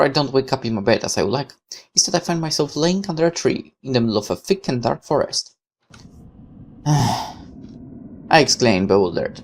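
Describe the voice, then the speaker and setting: A man reading a visual novel aloud as a let's player, in expressive narration broken up by casual asides. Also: mid-range voice, creaky.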